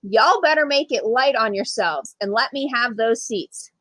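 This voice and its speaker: An angry bus driver acted by a woman from a children's storybook. He is bossy and impatient, giving orders in a husky, emphatic voice that swings up and down. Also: a little high, lively intonation, unhurried, fairly bright tone, dry recording. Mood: demanding, annoyed. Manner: demanding, impatient, folksy orders.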